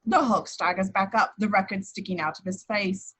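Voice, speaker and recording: Female narrator for screenplay action lines, medium pitch with a husky, unpolished tone. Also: normal speed, a bit bright.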